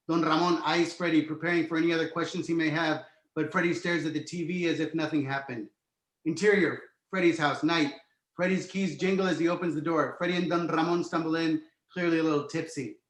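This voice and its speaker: Echoey, higher-voiced male screenplay narrator. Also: steady tone, a little fast, a bit bright. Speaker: stage-direction narrator. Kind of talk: scripted narration for a screenplay table read. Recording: roomy, echoey home recording.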